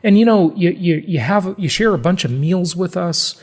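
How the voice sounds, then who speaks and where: Male podcast host, fairly high-pitched. Casual and conversational, salesy, stresses points, likes to kid around. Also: lively intonation, normal speed. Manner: emphatic, salesy, joking.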